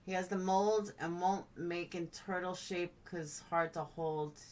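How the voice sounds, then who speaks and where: Woman streamer just chatting, kinda low voice, blunt n playful, sounds a bit away from the mic. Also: steady tone, unhurried, darkish tone, recorded from a distance. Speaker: live-stream host. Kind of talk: casual live chat, reacting to comments.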